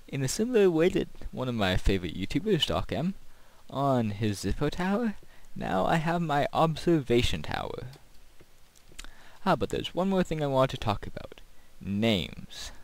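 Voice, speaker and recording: A man giving casual gameplay commentary as a video host, rambling and thinking aloud. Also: mid-range voice.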